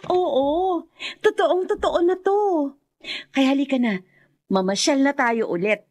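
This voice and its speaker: A woman's voice: high-pitched, slow pace, a little mellow. A Filipino woman playing a cheerful, reassuring young friend in a radio drama, with a teasing, coaxing manner.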